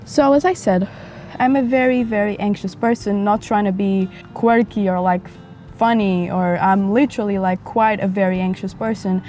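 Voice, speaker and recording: A young woman from Portugal talks frankly and at length in a casual vlog, her mellow voice a little raspy from a dry throat. She is recording outdoors beside a highway, with motorcycles passing and faint music behind her. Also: steady tone, moderate pace. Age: 20s. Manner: chatty, rambling, frank. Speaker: vlogger. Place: outdoors near a busy highway. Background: passing motorcycles and traffic.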